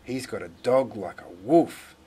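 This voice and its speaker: A man acts out a gossipy kid from a picture book, excitable and eager to scare. The delivery is dramatic in its rise and fall, with some background noise. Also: on the low side, measured pace. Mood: spooked, gleeful. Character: excitable, gossipy children spreading scary rumours. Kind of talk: storybook character voice.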